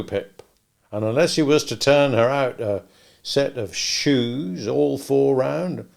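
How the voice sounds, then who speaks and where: A man voicing a kindly, slow-witted working-class blacksmith who talks ponderously in rustic grammar. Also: medium pitch, creaky, a little mellow.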